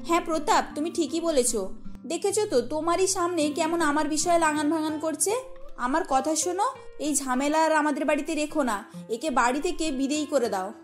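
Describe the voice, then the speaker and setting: A woman voicing a scheming, sharp-tongued young wife in a cartoon, speaking in an insistent manner. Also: high voice, brisk, faint music behind, natural voice. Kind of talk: cartoon story voice acting.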